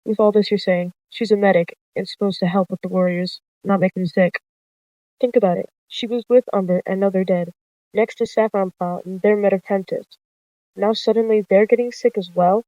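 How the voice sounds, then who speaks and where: A narrator plays a protective tomcat deputy in a roleplay story. He is insistent and confrontational, at a middling pitch, on a dry lo-fi recording. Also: fairly even intonation, moderate pace, dark tone, no echo, natural voice. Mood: suspicious. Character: male cat warrior deputy, protective, pressing, accusing. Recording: dull lo-fi close dry recording.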